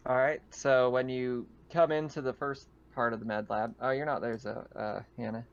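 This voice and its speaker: A man's voice: medium pitch, flat delivery, normal speed, highs cut off. A man running a tabletop horror game as game master over online voice chat, describing scenes in casual, conversational talk. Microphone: online voice call.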